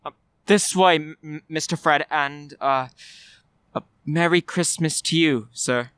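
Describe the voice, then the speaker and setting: A man's voice: on the high side, a little slow, fairly bright tone, clear, resonant tone, dry recording. A man playing a humble, kindly clerk in a radio play, hesitant, with a nervous stammer. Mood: nervous, polite. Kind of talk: old-time radio play acting.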